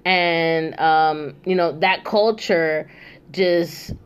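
A woman's voice: low voice, fairly even intonation, drawn-out words, clear, resonant tone. A young woman hosting a casual chat, talking loosely with many asides and fillers. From New York. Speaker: host.

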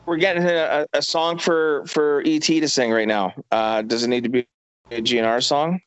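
A middle-aged man from Canada talks in a laid-back, profane, buddy-like way. His voice sits a little higher than most men's. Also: fairly even intonation, normal speed, clean tone, dry recording. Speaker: podcast guest. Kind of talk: casual chat.

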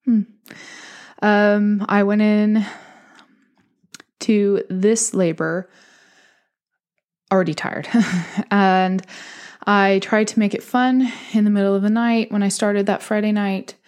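A woman's voice: mid-range voice, fairly even intonation, slow, pauses often, a little mellow, clear, resonant tone. A young woman telling her own story in a candid, chatty way, laughing often and mimicking voices when she quotes. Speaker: podcast host telling her birth story. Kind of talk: casual personal storytelling.

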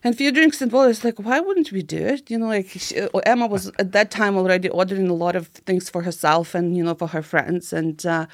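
A woman's voice: mid-range voice, fairly quick, hardly any pauses, dry recording, studio quality. A woman in her thirties from Ukraine with a Ukrainian accent, chatting as an interview guest and filling her talk with "you know" and "like". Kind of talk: casual interview conversation.

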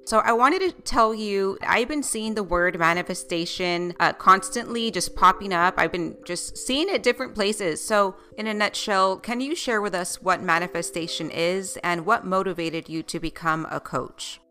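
A woman's voice: mid-range voice, moderate pace, hardly any pauses, bright, forceful tone, faint music behind. A woman from South Texas hosting a podcast, welcoming her listeners in a friendly, casual way. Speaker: podcast host.

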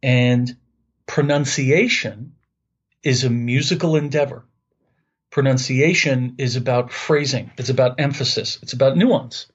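A man in his late fifties with a New York accent speaks as an interview guest. He talks earnestly and often circles back to restart his phrases. His voice sits in a middle pitch and comes through slightly muffled. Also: unhurried, breathy edge. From Long Island, New York. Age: 50s. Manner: earnest, repeats words while searching for phrasing. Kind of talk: conversational interview.

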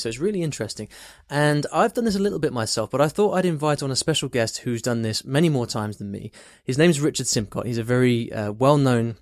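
A man's voice: medium pitch, dry recording, studio quality. A man hosting a podcast interview, recapping a listener question and asking friendly follow-ups. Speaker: podcast host.

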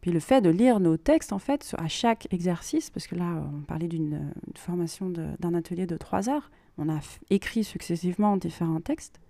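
A woman hosting a podcast, explaining things informally in a monologue and pausing as she thinks aloud. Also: lowish voice, animated, mellow, dry recording. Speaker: podcast host and creative writing workshop leader.

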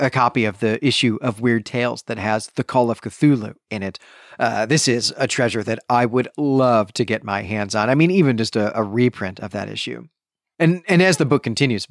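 A man's voice: medium pitch, dry recording, clean studio sound. A man hosting a book podcast, talking through a discussion in a conversational, enthusiastic way, often repeating words and correcting himself.

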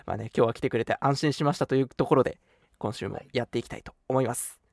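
A man's voice: mid-range voice, quick pace, creaky, darkish tone, no room sound, clean studio sound. A young man hosting a live radio chat, moving between polite, apologetic announcements and casual banter. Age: young adult. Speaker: host of an online radio show.

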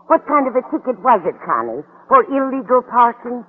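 A woman's voice: on the high side, big pitch swings, brisk. An actress playing a sweet, scatterbrained older landlady in an old radio sitcom, kindly and innocently literal. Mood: concerned, kindly. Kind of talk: 1940s-50s radio sitcom acting. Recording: noisy old radio broadcast, phone-like band.

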